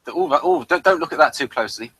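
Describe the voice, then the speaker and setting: A man chatting casually as a podcast reviewer, in a hesitant, halting way. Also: on the high side, wildly swooping pitch, vocal fry, pushed and bright, breathy edge, no room sound.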